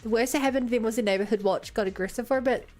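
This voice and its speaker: Woman, New Zealand accent, higher voice, casual livestream chat, game music behind. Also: racing, a little mellow. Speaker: game streamer.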